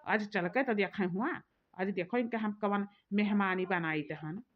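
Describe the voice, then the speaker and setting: A woman's voice: medium pitch, unhurried, close to an everyday voice. A woman playing a defiant, hot-tempered village sister-in-law in a cartoon comedy, grumbling in repeated phrases with an Awadhi accent. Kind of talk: rustic cartoon comedy dialogue. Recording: dull lo-fi sound.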